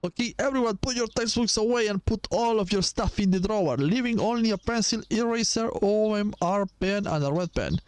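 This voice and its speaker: A man voicing a strict high school exam proctor in a video game, giving firm, commanding classroom instructions. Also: fairly quick, few pauses, clear, resonant tone, no echo, natural voice. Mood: stern, commanding.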